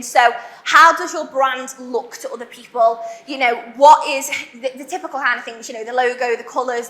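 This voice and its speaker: A young woman with a Liverpool accent giving a conference talk, chatty and self-deprecating, fast with many fillers. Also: high voice, sharp tone. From Liverpool, England. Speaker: conference speaker. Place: large warehouse event hall. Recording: echoey event hall.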